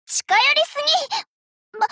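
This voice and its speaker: A woman's voice: moderate pace, pushed and bright, natural voice. A woman voicing an elegant young lady idol in an anime game, proud and playful, with refined, teasing, theatrical speech and an easily flustered streak. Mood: flustered, indignant.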